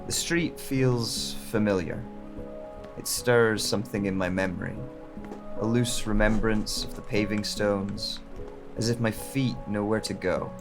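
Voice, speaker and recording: A man playing a brooding wanderer with lost memories, narrating an audio drama in the first person in a measured, reflective way. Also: lowish voice, steady tone, music in the background. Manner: measured, reflective, with deliberate pauses. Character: brooding amnesiac wanderer narrating his journey. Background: chatter of other voices.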